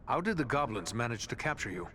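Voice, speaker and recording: An actor playing a resolute, courteous human ranger in a fantasy video game, speaking earnestly and formally. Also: male voice, medium pitch, big pitch swings, quick pace, sharp tone. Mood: courteous, inquiring.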